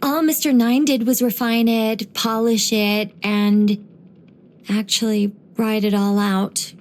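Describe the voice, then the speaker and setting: A woman voicing a gentle, wise young angelic woman in game voice lines, soft and wryly musing. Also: fairly high pitch, little pitch movement, slow pace.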